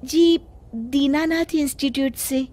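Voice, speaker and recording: A woman's voice: sits high, takes it slowly, heavy background noise. A woman voicing a dutiful, apologetic young bride who sews, with a polite, deferential manner in a cartoon family drama. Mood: meek, apologetic.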